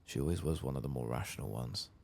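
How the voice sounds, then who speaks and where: Casting: man as ashamed exiled elf, grave and formal, very low creaky soft delivery, fantasy game dialogue. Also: quick pace. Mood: resigned, bleak. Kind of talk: game quest dialogue read aloud softly.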